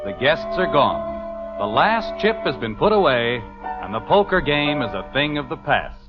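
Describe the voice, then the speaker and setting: A man's voice: mid-range voice, very animated, measured pace, over music. A man announcing a 1950s radio show in a polished scripted style, on an old muffled recording.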